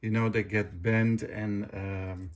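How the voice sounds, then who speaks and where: Middle-aged man in halting, casual chat. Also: lowish voice, little pitch movement. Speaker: video host. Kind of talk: casual gear review chat.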